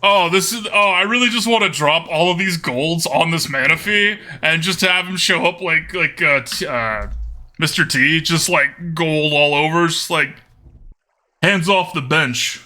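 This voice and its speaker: A man's voice: a little high, normal speed, pushed and bright. A man streaming a card game, chatting casually and playfully with viewers as he comments on his moves. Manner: putting on an accent for fun.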